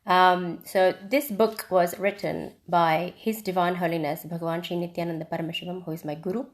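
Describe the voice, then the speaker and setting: A woman's voice: fairly low pitch, steady tone, a little fast. A woman from India teaching on a live video stream in a reflective, casual way, with frequent ums and restarts. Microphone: phone or webcam on a live video stream.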